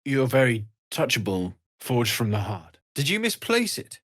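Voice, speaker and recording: A man voicing a kindly, earnest, world-weary blacksmith in a video game, speaking softly and sincerely. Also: medium pitch, sing-song, measured pace. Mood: earnest, wistful. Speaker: video game character, smith and merchant.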